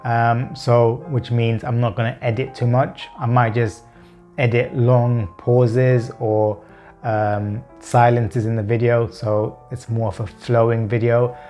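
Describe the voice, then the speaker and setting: Guy in his 30s vlogging, stammers a lot, repeats words, earnest and open, soft music under it. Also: medium pitch, flat delivery, takes it slowly. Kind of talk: personal vlog talking to camera.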